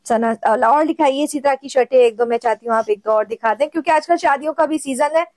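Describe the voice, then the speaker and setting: A woman's voice: high-pitched, quick pace, darkish tone, no room sound. A woman from Pakistan, hosting a casual shopping vlog and chatting eagerly with a seller. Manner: chatty, eager, narrates to viewers. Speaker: host.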